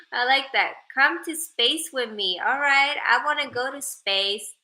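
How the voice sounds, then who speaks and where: A woman's voice: high-pitched, pushed and bright, rough recording. A young woman from Sweden chatting casually with viewers on a livestream, joking playfully and reading out their comments. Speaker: live streamer.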